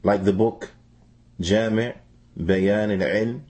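A man with a lowish, rough-edged voice reads a religious teaching in translation. His delivery is measured, like a lecture. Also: steady tone, a little mellow. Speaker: translator reading an Islamic scholar's answers.

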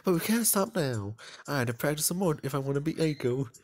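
A man voicing a sweet, shy teenage anime gymnast girl in a soft, earnest manner. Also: a little high, expressive, quick pace, voice pushed up.

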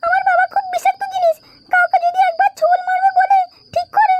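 Anxious cartoon girlfriend type, played by a woman: extremely high, urgent, pleading, fast delivery. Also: little pitch movement, slight background noise. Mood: urgent warning. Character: anxious young woman warning friends about her father. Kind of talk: amateur comedy cartoon dub.

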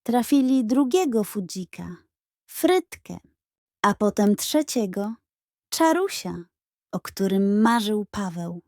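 A woman reading a scripted bedtime story for children in an expressive, careful storytelling manner. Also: medium pitch, slow, no room sound, studio quality. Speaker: audiobook narrator.

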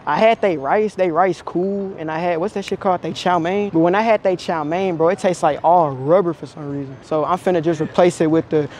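A man's voice: a little high, fairly even intonation, fairly quick, hardly any pauses, darkish tone, a little hiss or hum. A man in his twenties chatting casually and slangily in public, as a prankster vlogger. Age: 20s. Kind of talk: casual slangy street chat. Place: public places, in a store and out on city streets.

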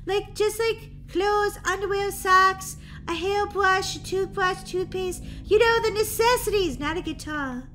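A woman's voice: very high-pitched, a little slow, a bit bright, soft background music, pitched above the performer's own voice. A woman voicing a bossy, sensible, exasperated sister in a children's roleplay story, scolding and emphatic.

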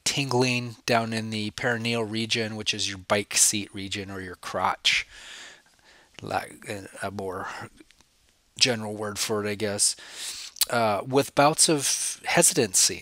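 A man's voice: mid-range voice, pushed and bright, dry recording. A man with a cold, sniffling a little, giving a recorded slide lecture as a professor and putting questions to the listener that he then answers himself. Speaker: professor lecturing on spine cases.

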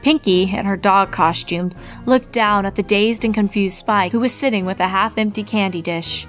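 A woman's voice: mid-range voice, moderate pace, fairly bright tone, faint music behind. A woman reading a scripted story as its narrator.

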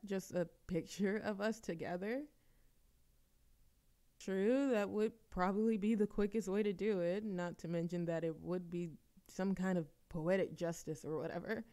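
A woman's voice: medium pitch, natural voice. A woman playing a playful, dramatic young woman chatting teasingly with a best friend in an audio roleplay. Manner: animated complaining, teasing, theatrical exasperation. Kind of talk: intimate friend roleplay audio acting, conversational.

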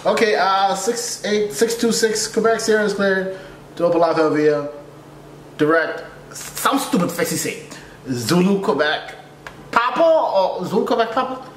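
Young male reactor, animated and exasperated, slangy storytelling with lots of repetition, recorded in an echoey room. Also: high voice, moderate pace. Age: young adult. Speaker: reaction video host, air traffic controller. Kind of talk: casual reaction commentary with storytelling. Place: small furnished room indoors. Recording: roomy echo, faint background noise.

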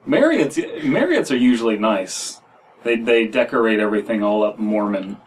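An American man in his thirties hosts a casual story-reading podcast, reading haltingly and breaking off for joking asides. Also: on the low side, big pitch swings, slight background noise. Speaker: podcast host reading stories aloud. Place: small room at home, indoors. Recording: distant mic in a room.

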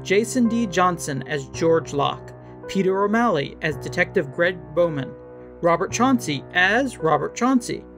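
Podcast announcer doing scripted credits, medium pitch, music playing behind. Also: animated, measured pace.

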